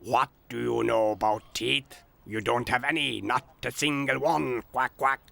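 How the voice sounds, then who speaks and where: A man's voice: mid-range voice, steady tone, a little slow, sharp tone, full character voice. A man voicing a know-it-all male duck who quacks between his remarks. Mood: blustering. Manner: quacking, gravelly.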